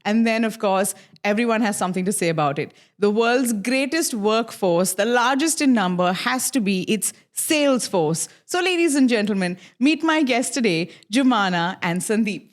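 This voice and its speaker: A woman's voice: a little high, expressive, moderate pace, hardly any pauses. Upbeat woman hosting a podcast. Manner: upbeat, enthusiastic presenter. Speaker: podcast host.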